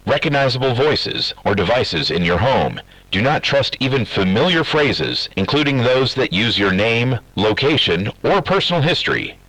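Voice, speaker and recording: Husky low-voiced man reading an alert. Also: bright, forceful tone, no echo, poor audio. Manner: measured, formal, official. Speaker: emergency broadcast announcer. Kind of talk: scripted emergency alert reading.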